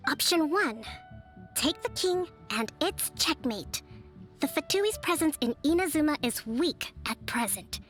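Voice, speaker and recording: Brief: a poised young leader, higher voice, measured formal delivery, soft game music under it. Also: female voice, expressive. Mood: strategic. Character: young woman leader and strategist, calm and composed. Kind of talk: game voice acting.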